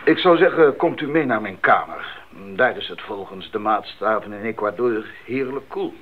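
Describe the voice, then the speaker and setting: Casting: older male missionary doctor played by an actor, genial mentor, animated intonation, radio play, muffled recording. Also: fairly low pitch, moderate pace, slight background noise, close to an everyday voice. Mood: genial, explanatory. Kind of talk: 1980s radio drama acting. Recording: muffled band-limited 1980s radio recording.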